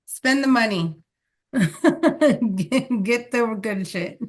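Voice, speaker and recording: A woman co-hosting a casual podcast, blunt and quick to laugh, and she swears casually. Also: on the high side, expressive. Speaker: podcast co-host. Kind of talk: casual chat.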